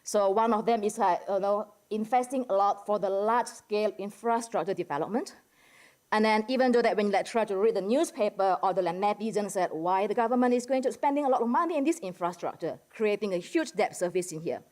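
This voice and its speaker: A woman from Indonesia with an Indonesian accent gives an informal academic presentation to a general audience, halting often and peppering it with casual filler words. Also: medium pitch, a little mellow. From Medan, Indonesia. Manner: conversational and halting, peppered with "like" and "you know". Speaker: academic discussant at a conference.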